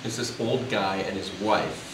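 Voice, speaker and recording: A man casually explaining a puppet show in a halting, informal way. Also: on the low side, steady tone. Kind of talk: casual explanation to camera visitor. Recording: echoey room, distant mic, loud background noise.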